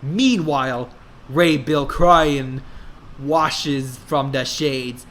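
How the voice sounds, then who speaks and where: A man narrating a comedic dramatic reading of fan fiction, deliberately reading every error as written. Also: medium pitch, a bit bright.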